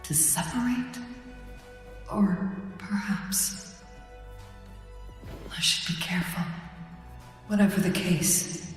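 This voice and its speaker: An actress playing a poised, pensive young woman with fire powers in scripted game dialogue, speaking in a measured, reflective way. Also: medium pitch, close to an everyday voice. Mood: pensive, wary. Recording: very echoey, long reverb, music behind.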